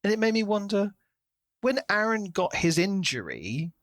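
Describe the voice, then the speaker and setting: A man co-hosting a podcast, talking through a book in a casual, animated retelling style. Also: sits high, moderate pace, no room sound, studio quality. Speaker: podcast co-host.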